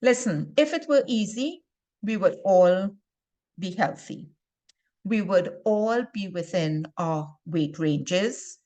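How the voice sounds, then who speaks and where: A woman from Trinidad and Tobago with a Trinidadian accent gives an informal instructional talk to viewers as a health presenter, speaking deliberately and stressing key words. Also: mid-range voice, expressive, dark tone. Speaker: health and wellness video presenter.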